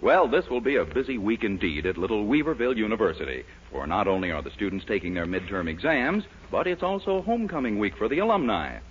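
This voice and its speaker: A man's voice: fairly low pitch, big pitch swings, fast talker, few pauses, slight background noise. An American man working as a 1940s radio announcer, reading scripted ads and narration on a dull old broadcast recording.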